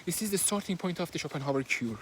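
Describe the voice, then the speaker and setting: A man's voice: on the high side, animated, quick pace, fairly bright tone, slight background noise. A man with a Persian accent, whose first language is Persian, talks casually while walking outdoors. He summarizes a book hesitantly, with many fillers and restarts, recorded on a simple phone. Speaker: book reviewer. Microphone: handheld phone, no fancy equipment.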